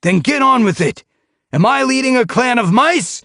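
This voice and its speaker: A man voicing a fierce young male cat leader who yells and snarls harsh commands at his warriors in an audiobook. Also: extremely high pitch, lively intonation, moderate pace, vocal fry, no echo, clean studio sound, voice pushed up, exaggerated voice. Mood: yelling.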